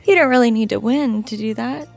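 An actress plays a stoic young nurse in love with a soldier. She speaks gently and earnestly in a fairly high voice. Soft music sits behind this period audio drama. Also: brisk, gravelly. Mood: tender, resigned.

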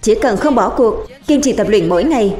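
Woman voicing a fiery, high-pitched young warrior boy. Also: animated, brisk, a little mellow, faint music behind, lightly acted. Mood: rousing. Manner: rousing, exhorting. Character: fiery, encouraging young male warrior training recruits. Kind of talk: animated series dubbing.

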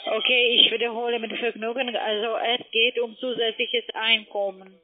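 A woman who is a non-native German speaker, giving a formal, polite telephone sales pitch. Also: little pitch movement, normal speed. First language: not German. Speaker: telephone investment sales agent. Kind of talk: scripted phone sales pitch. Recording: muffled narrow phone line.

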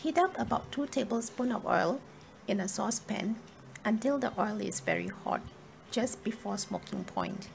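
A woman reading a scripted cooking tutorial in a measured, step-by-step instructional manner. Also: mid-range voice, animated, creaky. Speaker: cooking video narrator. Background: music.

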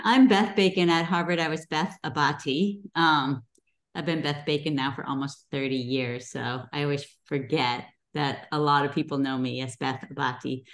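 A middle-aged woman, a guest author, talking casually over a video call, chatty with many pauses and ums. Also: deep voice, fairly bright tone.

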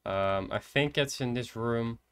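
An eighteen-year-old man with a Dutch accent, quietly thinking aloud as he streams a horror game from his computer desk. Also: mid-range voice, measured pace, smooth. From Netherlands. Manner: soft-spoken, hesitant, thinking aloud. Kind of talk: casual gameplay commentary. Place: indoors at a computer.

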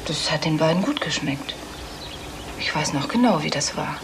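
A woman's voice: low voice, expressive, normal speed, gravelly. An actress playing a fussy, prim and insistent mother and hostess in a radio play. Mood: indignant. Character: fussy, polite hostess mother, scolding her small son. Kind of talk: German radio play dialogue. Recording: noisy recording with room ambience.

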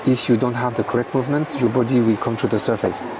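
A man with a French accent, a guest commentator, explaining swimming technique in halting, hesitant English. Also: medium pitch, fairly even intonation, far from the mic, noisy background. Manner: hesitant, halting, many fillers.

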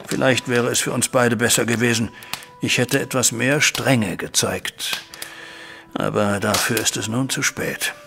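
An actor playing a troubled middle-aged banker, a widowed father, recounting events formally and deliberately in a German audio drama. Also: medium pitch, steady tone, vocal fry, background noise. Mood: regretful. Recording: music bed behind voice.

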